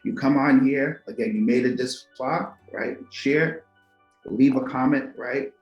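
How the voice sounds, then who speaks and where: A man's voice: medium pitch, a little slow, darkish tone, roomy sound, muffled recording, soft background music. A man from Trinidad and Tobago hosts a talk show, musing to viewers with rhetorical questions and repeated words. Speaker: podcast host. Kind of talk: philosophical monologue to viewers.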